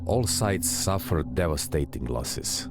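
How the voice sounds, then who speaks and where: An actor playing a grim, weary tank captain in a war game, speaking in a terse, measured and sombre way. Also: male voice, very deep voice, lively intonation, heavily acted. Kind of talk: dramatic war game acting. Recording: voice under loud music.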